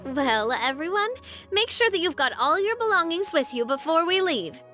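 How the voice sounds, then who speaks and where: Game casting: an efficient young president, high crisp-edged voice, bossy but playful. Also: female voice, big pitch swings, moderate pace, sharp tone, soft background music, exaggerated voice. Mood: brisk, playful. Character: brisk, organised young woman leader, playful and easily teased. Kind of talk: game voice acting.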